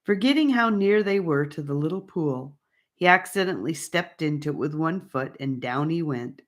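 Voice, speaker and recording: A woman narrating a fantasy audiobook in a measured, deliberate storybook style. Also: sits low, animated.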